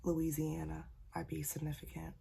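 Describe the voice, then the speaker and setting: A woman sharing a casual spiritual message, talking softly because she is a little sick, in a husky, near-whispered voice and a folksy manner. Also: deep voice, monotone, normal speed, a little hiss or hum. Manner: folksy, calls listeners y'all and child. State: slightly sick, keeping voice soft. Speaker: spiritual channeler.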